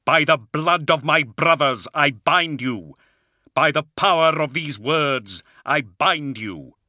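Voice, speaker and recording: Fierce warrior knight acted by a male narrator: commanding, defiant, declaimed incantations, raised pitch, forceful edge. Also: expressive, takes it slowly, no echo, clean studio sound, full character voice. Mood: solemn binding.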